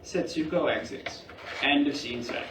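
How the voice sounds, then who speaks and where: A man narrates stage directions for a play reading. His voice is on the high side for a man, picked up from a distance in a very echoey room with a little background noise. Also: moderate pace, music in the background.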